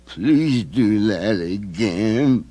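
A man voicing a timid, gloomy furry creature in a storybook reading, talking slowly and haltingly. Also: fairly low pitch, expressive, warm tone, no room sound, exaggerated voice. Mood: timid wondering. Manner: slow, halting, wide-eyed fearful.

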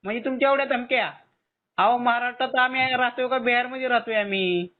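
A man with a high voice and a Maharashtrian Marathi accent speaks over a thin telephone line. His manner is insistent and questioning, as he presses the other party in conversation. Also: fast talker, no echo. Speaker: call recipient. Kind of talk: tense phone conversation. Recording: narrowband phone call recording.

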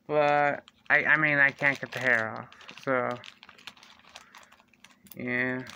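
A young man casually reviewing a toy figure in his bedroom, talking slowly and haltingly with fillers and restarts, close to a phone or webcam. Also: medium pitch, steady tone, creaky, sharp tone, a little hiss or hum. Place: small bedroom indoors. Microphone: phone or webcam close to the face.

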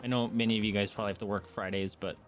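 A man's voice: fairly low pitch, steady tone, very fast, faint music behind. A man casually reacting to a video game as he streams it, irreverent, joking and slangy.

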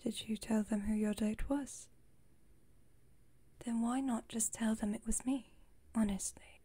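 A haughty, playful vampire woman acted by a woman whispers in a breathy, mellow voice. Her delivery stays fairly even, with formal, wry teasing and a protective streak, as in an intimate ASMR roleplay. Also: a little high, measured pace. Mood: smug, playful.

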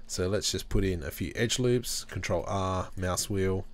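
Deep-voiced man talking through a tutorial. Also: unhurried. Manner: relaxed, thinking aloud while working. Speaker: tutorial host. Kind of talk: screen-recorded software tutorial.

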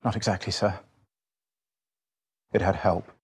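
A man's voice: sits low, steady tone, measured pace, husky, no room sound, full character voice. A man playing a dutiful, uneasy military officer in a video game, formal and a little hesitant. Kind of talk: game cutscene acting.

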